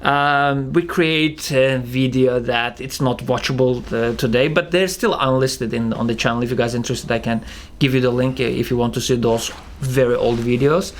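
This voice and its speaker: A young man with a thick Russian accent, casually answering viewer questions as a channel co-host, halting with many fillers and restarts. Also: mid-range voice, fairly even intonation, slight background noise. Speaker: channel co-founder hosting Q&A. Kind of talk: casual Q&A chat.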